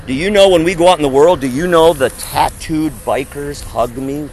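A man's voice: on the high side, moderate pace, darkish tone, a little hiss or hum. A man preaching outdoors to a small group, talking emphatically, posing rhetorical questions and acting out other people's voices. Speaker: street preacher. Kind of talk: conversational street preaching. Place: outdoors in a parking area.

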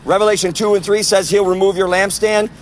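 A man preaching loudly and forcefully on an outdoor sidewalk, proclaiming to passersby. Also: high-pitched, steady tone, a little fast, a little hiss or hum. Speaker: street preacher. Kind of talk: open-air street preaching.

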